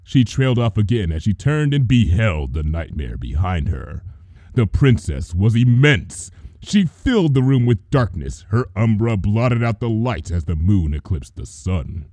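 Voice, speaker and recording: A man reading fan fiction aloud as expressive, dramatic story narration. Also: on the low side, unhurried, dry recording.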